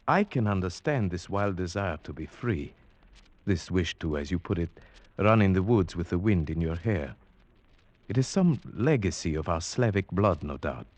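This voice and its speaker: A man acting a courtly, brooding Slavic gentleman in an old radio drama, speaking formally and with measured politeness. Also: deep voice, dry recording. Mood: courtly, genial.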